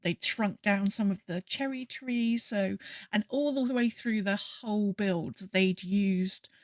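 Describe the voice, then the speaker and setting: A woman's voice: mid-range voice, takes it slowly, dry recording. A woman hosting a casual gaming podcast, chatting in an enthusiastic, rambling way. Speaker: podcast host. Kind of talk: casual podcast chat about a video game.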